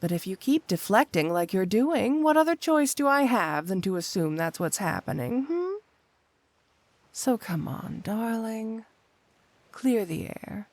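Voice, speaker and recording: A woman's voice: fairly high pitch, lively intonation, darkish tone, no room sound, exaggerated voice. A woman playing a flirty, possessive supervillain cat burglar wife in an intimate ASMR roleplay, coyly drawing out her endearments. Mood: menacing, coaxing. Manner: coy, drawn-out, purring endearments with pointed hums.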